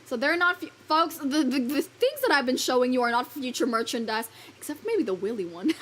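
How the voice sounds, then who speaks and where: High-voiced giggly woman streamer. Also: fairly bright tone, slight background noise. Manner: giggly, rambling, swears casually; loose and playful, fumbles and restarts lines. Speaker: virtual streamer. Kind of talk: casual live-stream chat with viewers.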